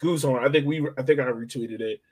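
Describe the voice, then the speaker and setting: A man's voice: mid-range voice, fast talker, husky. A young man hosting a sports podcast in an informal, slangy, chatty way. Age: young adult. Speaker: podcast host. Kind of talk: casual sports podcast chat.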